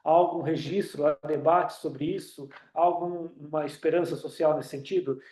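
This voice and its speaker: Brazilian-accented man, hesitant webinar speaker, mellow slightly high voice, roomy echo. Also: fairly even intonation, moderate pace. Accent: Brazilian Portuguese. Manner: hesitant, many fillers and restarts. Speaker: union director speaking on a webinar. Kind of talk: online talk with audience questions. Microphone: computer mic on a video call.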